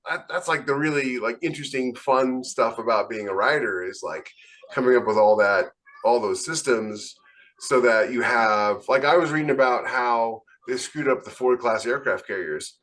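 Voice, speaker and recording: A man's voice: mid-range voice, moderate pace, a bit bright. A man co-hosting a casual podcast discussion, explaining things with enthusiasm as a writer. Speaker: podcast co-host and science fiction writer.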